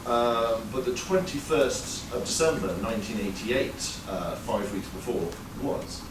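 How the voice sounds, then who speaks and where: A man's voice: mid-range voice, animated, a little slow, noisy background. Man telling a wry story in a roomy live venue. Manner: conversational, wry, many fillers. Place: performance venue before an audience. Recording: roomy live sound, mic far off.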